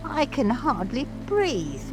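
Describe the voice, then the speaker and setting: A woman's voice: high voice, sing-song, over music, full character voice. A woman voicing a drowsy, grumbling little dormouse in a storybook dramatization, complaining sulkily. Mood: sulky. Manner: slow, complaining. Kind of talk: dramatized audiobook.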